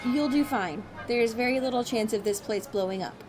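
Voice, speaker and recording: A woman's voice: fairly high pitch, normal speed, close to an everyday voice. A woman playing a frazzled, impulsive time traveller in an audio drama, with a wry, rambling, informal delivery. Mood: wry, weary. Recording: music playing behind.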